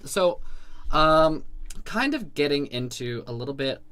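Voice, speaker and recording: Bright-voiced man hosting, hesitant. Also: a little high, very animated, a little slow. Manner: hesitant, many fillers, laughs along. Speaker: podcast host. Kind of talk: casual interview.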